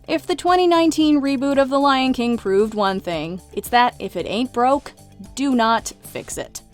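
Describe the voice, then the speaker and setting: A woman's voice: high-pitched, moderate pace. A woman delivering scripted narration as the narrator of a countdown video. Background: light background music.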